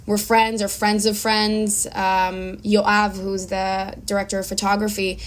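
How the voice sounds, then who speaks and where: A woman's voice: mid-range voice, little pitch movement, unhurried, a little hiss or hum. A young woman from Israel talking casually as a filmmaker guest in an interview.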